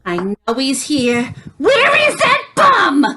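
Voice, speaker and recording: An actress playing a furious, suspicious nagging wife in a comic old-time radio play. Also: female voice, high-pitched, huge pitch swings, slow pace, sharp tone, heavily acted.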